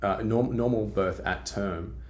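A middle-aged man from Australia with an Australian accent softened by years in Britain, explaining research in a podcast interview with frequent fillers and restarts. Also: fairly low pitch, a little slow. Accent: Australian with British influence. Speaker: guest scientist.

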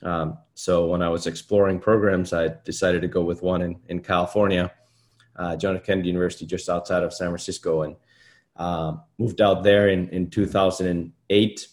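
A man's voice: low voice, little pitch movement, normal speed. A man in his late thirties who grew up in Iceland and speaks English as a second language, a golf coach chatting in an interview with many fillers and restarts. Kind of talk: casual interview conversation.

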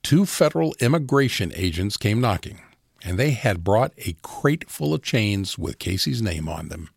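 A man narrating a true story in a steady, serious scripted style. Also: on the low side, moderate pace, vocal fry, breathy edge, dry recording, studio quality.

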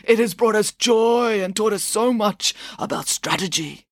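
A woman's voice: mid-range voice, measured pace, no echo, clean studio sound, pitched above the performer's own voice, natural voice. A woman voicing a grand, pompous old male Persian ruler in a storybook tale. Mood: gracious. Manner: pompous, proclaiming.

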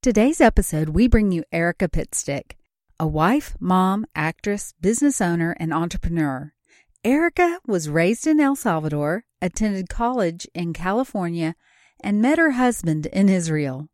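A woman's voice: fairly low pitch, lively intonation, normal speed, a little mellow, dry recording, clean studio sound. A woman host giving an upbeat scripted introduction to a guest's story. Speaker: podcast host.

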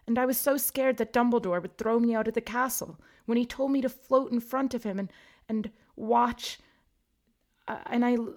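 A woman voicing a self-pitying teenage girl ghost who sobs and wails in a high, shrill voice. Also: a little mellow. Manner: wailing, sobbing, high and shrill.

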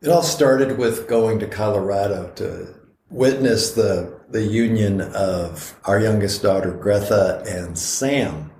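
A man's voice: lowish voice, slow pace, darkish tone, roomy sound, a little hiss or hum. A middle-aged man co-hosting a podcast, chatting in a rambling way with frequent restarts. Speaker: podcast co-host. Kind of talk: casual chat.

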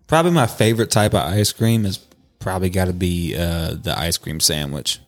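A man's voice: low voice, a little fast, faint music behind. A man in his thirties in casual chat, teasing and laid-back.

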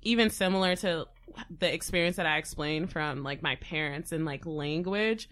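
A woman in a casual chat, animated and asking the others questions. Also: low-pitched, normal speed.

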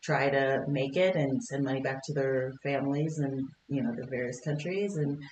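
A woman's voice: very deep voice, normal speed, slight background noise. Chatty woman guest in a roomy studio. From Kentucky, United States. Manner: warm, chatty, laughs often, many fillers. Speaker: podcast guest. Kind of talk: conversational interview. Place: podcast studio.

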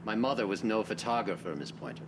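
An actor portrays a courteous middle-aged man on a quest in a radio play. His delivery is formal and earnest, with lively swings of pitch. A faint wash of ambient noise sits behind him. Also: fast talker, natural voice. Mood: earnest, insistent. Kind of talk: radio drama dialogue.

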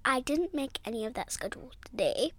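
A female speaker chatting casually as a podcast co-host, soft-spoken and hesitant, often trailing off. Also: high voice, animated, brisk, no room sound. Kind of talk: casual family chat.

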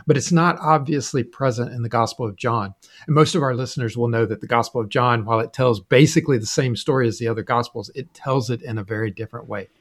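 A man co-hosting a podcast, explaining Bible passages in a conversational, explanatory way and sometimes chuckling. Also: mid-range voice. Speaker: podcast co-host.

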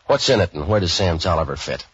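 An actor playing a wisecracking, street-wise boat-for-hire man in 1940s radio style, clipped, sardonic and deadpan, both in narration and dialogue. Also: low-pitched, fast, breathy edge, exaggerated voice. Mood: sardonic, defiant. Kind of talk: 1940s hard-boiled radio acting, first-person narration. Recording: dull old 1940s radio recording.

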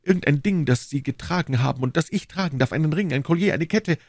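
A man's voice: fairly high pitch, quick pace, no room sound, studio quality, voice pushed up. A man voicing a passionate, lovestruck English duke from a classic novel, pleading and fatalistic in an ardent, courtly, declamatory manner.

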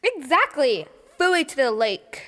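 A woman's voice: sits high, very animated, slow, sharp tone, long reverb tail, heavily acted. A woman voicing a bold, confident young girl with an upbeat, bossy way of talking.

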